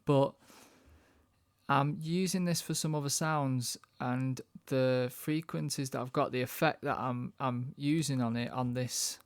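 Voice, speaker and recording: A man with a medium-pitched, noticeably creaky voice talks through a production tutorial in an informal way. He thinks aloud as he works, and the sound is dry and close, with little room. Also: fairly even intonation, a little slow, no echo. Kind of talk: casual software tutorial narration.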